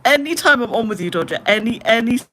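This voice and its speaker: A woman in her late twenties chatting casually on a live stream, rambling with lots of "like" and trailing into low mutters. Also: animated, fast, sharp tone. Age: 20s. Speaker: livestreamer.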